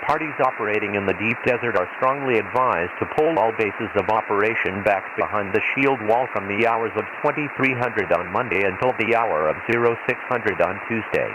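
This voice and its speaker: Guy doing a desert planet weather radio announcer, kinda low voice, formal and impassive, lots of static hiss on the radio. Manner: formal, measured, impersonal. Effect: radio transmission filter. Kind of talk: scripted emergency weather radio bulletin. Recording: hissy, noisy shortwave-style radio broadcast.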